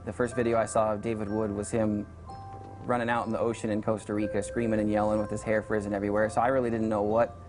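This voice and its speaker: A man's voice: lowish voice, level tone, fast. A man giving an earnest, matter-of-fact personal testimonial. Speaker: testimonial speaker. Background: background music.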